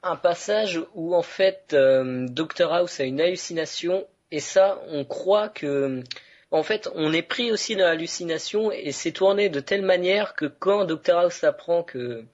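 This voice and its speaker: A man's voice: a little high, unhurried. A man hosting a casual podcast, talking enthusiastically with lots of fillers. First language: French. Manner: enthusiastic, chatty, many fillers. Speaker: podcast host. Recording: dull lo-fi podcast audio.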